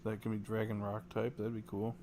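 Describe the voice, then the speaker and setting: A man's voice: on the low side, monotone, fairly quick, dark tone, a little hiss or hum. A man livestreaming a video game, musing aloud and reacting casually as he plays. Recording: stream with clear game music behind.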